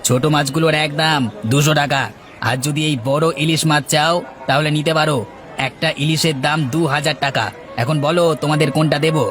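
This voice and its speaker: A man voicing a firm, haggling fish seller in a village cartoon, with a brisk, unyielding sales manner. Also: on the high side, level tone, over music, lightly acted. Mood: businesslike. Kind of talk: Bengali moral-story cartoon dubbing.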